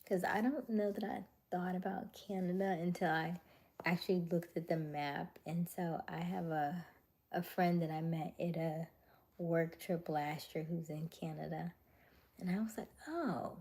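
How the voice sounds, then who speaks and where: A young adult woman hosting a casual livestream, chatting with viewers in a chatty way with frequent laughs and soft, whispery stretches. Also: on the low side, steady tone, measured pace. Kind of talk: casual live chat reading viewer comments.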